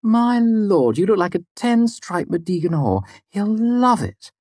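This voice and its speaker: A man voicing a blunt, sarcastic, proud small woman with a curt, sharp manner, as in an audiobook. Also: medium pitch, animated, normal speed, breathy edge, no echo, clean studio sound, pitched above the performer's own voice. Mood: teasing, appreciative. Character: sardonic, blunt, foul-mouthed small woman with fierce pride. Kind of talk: audiobook character dialogue.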